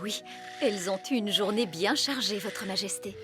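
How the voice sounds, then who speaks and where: An actress playing a brisk, unflappable fairy nanny in a French-language cartoon, speaking in a theatrical, matter-of-fact way. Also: female voice, medium pitch, close to an everyday voice. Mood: brisk, composed. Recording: music behind the voice.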